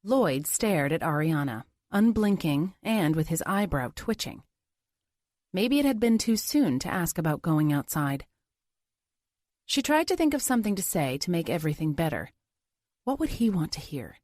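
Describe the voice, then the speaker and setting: Woman, audiobook narrator, scripted suspense fiction, lower-pitched, lively intonation. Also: moderate pace, dry recording, studio quality.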